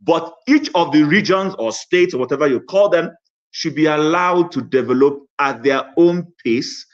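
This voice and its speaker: Measured male caller, lo-fi line. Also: fairly high pitch. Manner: measured, summarizing, some hesitant fillers. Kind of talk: call-in contribution. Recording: lo-fi online call audio.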